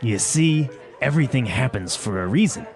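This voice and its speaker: A man's voice: medium pitch, expressive, moderate pace, darkish tone, close to an everyday voice. A man playing a wistful man musing on the past. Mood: reflective. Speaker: dialogue voice actor. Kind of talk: scripted dialogue for learners. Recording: music and crowd noise behind.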